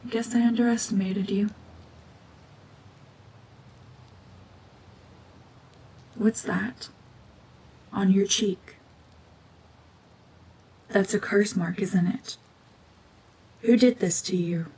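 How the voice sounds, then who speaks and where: A woman voicing a cold, mean, world-weary cyborg witch and war veteran in a hushed, breathy, brooding, deliberate ASMR drama monologue. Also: mid-range voice, steady tone, full character voice, poor audio. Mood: guarded, slightly softening.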